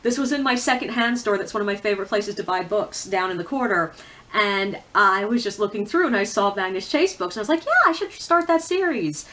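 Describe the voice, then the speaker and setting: A woman's voice: on the high side, fairly bright tone. A woman talking casually and candidly to viewers as a book reviewer, with opinionated, informal asides. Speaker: book reviewer on a video channel. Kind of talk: casual chatty vlog talk about books.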